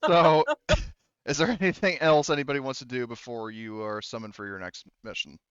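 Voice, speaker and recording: A man's voice: mid-range voice, lively intonation, a little slow, clean tone, dry recording. Male tabletop game master, loose informal delivery, clean resonant voice, dry and a little muffled. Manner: informal, loosely phrased, profane. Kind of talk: narrating a tabletop role-playing game, casual.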